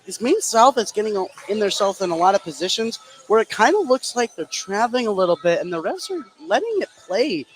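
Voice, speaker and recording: Male, high pitch, color commentator, conversational basketball analysis, faint gymnasium noise. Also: sing-song, a little fast, hardly any pauses, low-quality recording. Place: gymnasium, courtside at a game.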